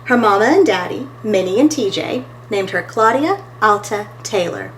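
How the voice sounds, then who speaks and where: A woman's voice: mid-range voice, lively intonation, normal speed, a little hiss or hum. A woman reading a picture book aloud as a storytime host, expressively and talking to her listeners between pages.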